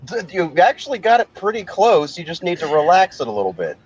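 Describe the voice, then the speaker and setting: A man hosting a casual chat, relaxed and amused as he recalls stories. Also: high-pitched, brisk, breathy edge, highs cut off.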